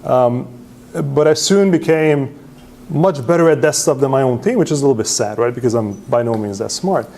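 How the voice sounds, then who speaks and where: A man presents to a meetup crowd. He is self-deprecating and casual, often tags his points with a quick "right?" and restarts his sentences as he goes. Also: medium pitch, a little mellow. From Serbia. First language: Hungarian. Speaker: presenter at user group meetup. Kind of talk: conference talk with slides.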